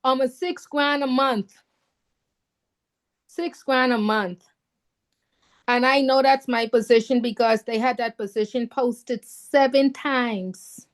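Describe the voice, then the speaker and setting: A woman whose first language is not English, telling a story with animated emphasis as a newly licensed counselor on a call. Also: high-pitched, slow pace, pauses often. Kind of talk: casual chat on a video call.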